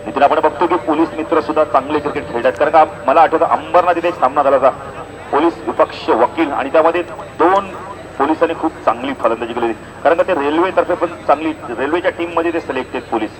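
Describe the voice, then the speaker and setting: A man's voice: a little high, fast talker, rough recording. A man with a Maharashtrian accent doing live cricket commentary and announcements. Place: outdoor cricket ground. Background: crowd.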